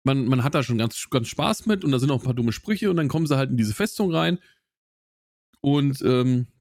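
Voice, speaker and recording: A man's voice: medium pitch, lively intonation, normal speed, darkish tone, dry recording, clean studio sound. A man co-hosting a podcast, casually retelling a film plot with many restarts. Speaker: podcast co-host.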